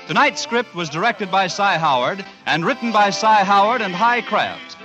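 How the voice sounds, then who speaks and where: A man's voice: high-pitched, lively intonation, normal speed, over music, low-quality recording. An American man announcing closing credits in the formal style of 1940s network radio. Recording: vintage 1940s radio broadcast recording.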